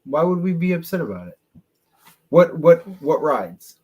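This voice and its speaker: A man's voice: fairly high pitch, big pitch swings, a little slow, breathy edge, muffled recording. A man co-hosting a casual podcast chat, laid-back, often laughing and repeating his words. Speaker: podcast co-host.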